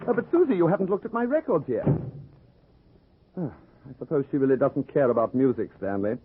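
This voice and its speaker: An actor playing a suave, unflappable bachelor adventurer in an old-time radio comedy-mystery, speaking in an urbane, drily witty, mock-polite way. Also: male voice, medium pitch, sing-song, brisk, narrow, tinny audio, a little hiss or hum, lightly acted. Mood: dryly amused.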